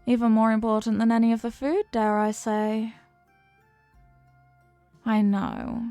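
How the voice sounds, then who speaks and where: A woman's voice: fairly high pitch, little pitch movement, a little slow, mellow, smooth, no room sound, soft background music. A woman playing a flirty, playful stranger at a New Year's party in an intimate audio roleplay, with a coy, teasing, murmuring delivery.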